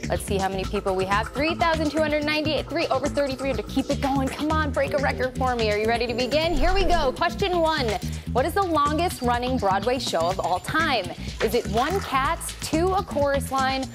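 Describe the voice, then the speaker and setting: A woman's voice: high voice, lively intonation, fairly quick. An American woman in her thirties hosting a live TV trivia game in a chatty, emphatic way with personal asides. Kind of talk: live TV quiz hosting, partly scripted. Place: TV news studio. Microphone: broadcast studio microphone. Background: clear music bed.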